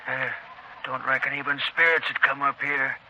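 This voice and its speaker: A man's voice: mid-range voice, flat delivery, normal speed, creaky, bright, forceful tone. An actor playing a timid, superstitious country repairman with a rural American accent, folksy and hesitant, in 1930s radio style. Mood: folksy, uneasy. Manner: hesitant, folksy drawling chatter. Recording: dull, noisy vintage 1930s radio recording.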